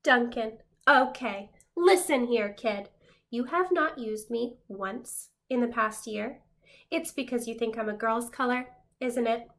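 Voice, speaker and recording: Woman as a sassy, reproachful storybook crayon, high-pitched. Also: unhurried, a bit bright. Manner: blunt, reproachful. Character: sassy, neglected pink crayon demanding use.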